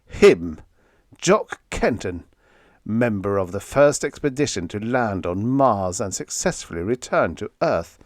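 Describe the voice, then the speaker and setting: Expressive low-pitched male audiobook narrator. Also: measured pace, no echo, clean studio sound. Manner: expressive, dramatic. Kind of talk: scripted dramatic story narration.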